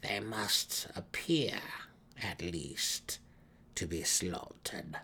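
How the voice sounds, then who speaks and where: A man voicing a withered old female dark elf matriarch, sly and imperious, in a measured, mysterious fantasy audiobook delivery. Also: deep voice, whispered, exaggerated voice. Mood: calm, menacing.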